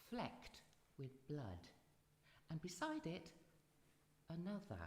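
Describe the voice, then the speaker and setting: A theatrical auntie played by a woman tells spooky tales in a lowish, swooping voice. She relishes every suspenseful pause. The voice rings in a long hall reverb. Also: a little mellow. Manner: dramatic, relishing, drawn-out suspense. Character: theatrical auntie storyteller of ghostly fairy tales, Auntie Mildred. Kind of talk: live radio drama storytelling. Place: live performance in a reverberant hall. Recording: echoey hall with long reverb, music behind.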